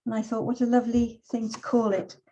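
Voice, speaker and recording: A middle-aged woman poet and teacher, talking and reading in a reflective, measured way as an invited guest. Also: on the high side, flat delivery, dark tone, muffled recording. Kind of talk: relaxed personal talk and poetry reading.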